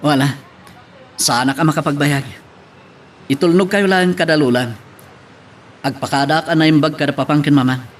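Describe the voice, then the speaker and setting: A man's voice: a little high, normal speed, long pauses, a little hiss or hum, lightly acted. A man playing a caring, earnest young husband in an Ilocano audio drama. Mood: earnest, tender.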